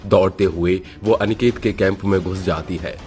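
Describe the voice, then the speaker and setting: A man's voice: low voice, moderate pace, warm tone, soft background music. A man narrating a scripted horror cartoon story in a dramatic storytelling style.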